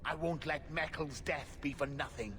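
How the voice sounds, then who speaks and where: A man's voice: a little high, normal speed, sharp tone. An actor playing a vengeful, menacing male thug in a fantasy video game, bitter and threatening. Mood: bitter, angry. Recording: noisy, long hall reverb.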